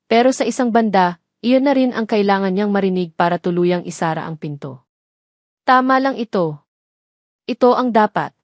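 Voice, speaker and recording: A woman narrates a scripted romantic drama in a storytelling style. Her pitch is in the middle range for a woman. The recording is very dry, with no room sound. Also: a little mellow, no room sound.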